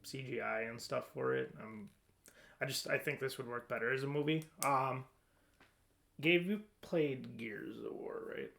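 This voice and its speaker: A man's voice: mid-range voice, a little slow, gravelly, fairly bright tone. A man hosting a casual podcast discussion, talking informally and a little hesitantly as he thinks aloud. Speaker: podcast host leading a discussion. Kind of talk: casual chat.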